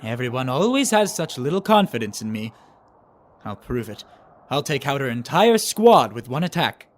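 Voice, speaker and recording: Man playing a self-assured alien soldier, mid-pitched. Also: sing-song, normal speed, lightly acted. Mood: bold, confident. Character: confident male alien soldier posing as humble aide. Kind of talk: amateur animation voice acting.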